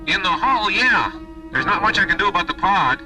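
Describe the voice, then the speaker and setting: An actor playing an easygoing, joking space shuttle co-pilot in a science fiction radio drama, with a casual delivery. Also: male voice, high-pitched, expressive, brisk, music in the background, rough recording. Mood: bantering, relaxed. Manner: casual, bantering.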